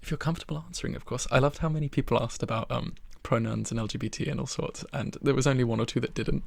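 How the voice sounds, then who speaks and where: A soft-spoken young man of twenty-one chatting ASMR-style from his bedroom, rambling and poking fun at himself. His voice is heavy with fry and breathy, sitting mid-range for a man. It is recorded close and bone dry. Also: medium pitch, fast, dry recording. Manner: rambling, self-deprecating, with soft laughs. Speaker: ASMR creator.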